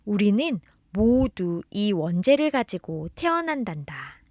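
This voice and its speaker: A woman's voice: medium pitch, very animated, takes it slowly, darkish tone, no echo, clean studio sound. A woman reading a scripted children's religious storybook, expressively addressing the listener as a beloved child. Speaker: storybook narrator reading children's catechism tales.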